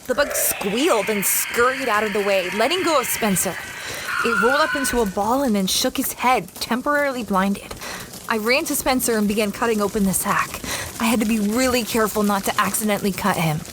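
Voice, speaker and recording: A woman's voice: on the high side, fairly quick, very noisy, close to an everyday voice. A woman playing an adventurous, easily flustered young space explorer, narrating her story in an audio drama. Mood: breathless recounting. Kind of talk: first-person audio drama narration and dialogue.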